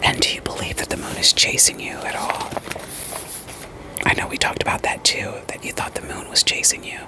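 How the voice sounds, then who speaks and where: A woman whispering an ASMR roleplay as a therapist, in a soft, deadpan, gently questioning manner. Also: moderate pace.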